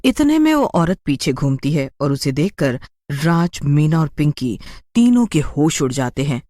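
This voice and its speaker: A woman's voice: low voice, very animated, a little slow, dark tone, no room sound, studio quality. A woman narrating a scripted story in a dramatic storytelling style.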